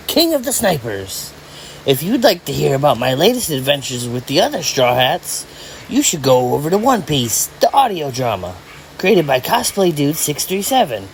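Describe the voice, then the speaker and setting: A man's voice: fairly high pitch, wildly swooping pitch, normal speed, a little hiss or hum, poor audio. A man playing a boastful, self-important young pirate sniper in a showy audio drama promo.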